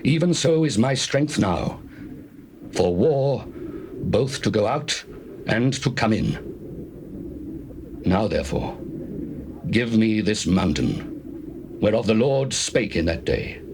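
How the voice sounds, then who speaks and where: A man's voice: lowish voice, big pitch swings, slow, long pauses, heavily acted. A man playing an eighty-five-year-old Israelite warrior, steadfast and devout, in a solemn, declamatory scripture drama. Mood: proud, resolute. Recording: noisy old cassette tape recording.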